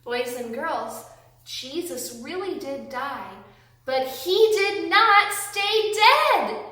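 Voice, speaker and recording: A woman reading aloud and teaching young children, with simple, deliberate, emphatic phrasing, recorded in a classroom. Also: high-pitched, very animated, sharp tone. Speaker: children's Bible storytime host, reading aloud. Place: indoors, a classroom. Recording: very echoey room, distant microphone.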